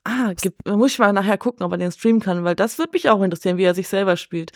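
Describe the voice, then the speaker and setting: A woman's voice: medium pitch, fast talker, no room sound. Chatty woman guest in a dry recording. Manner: colloquial, chatty, often ending on question tags. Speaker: podcast guest. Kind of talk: casual chat about films.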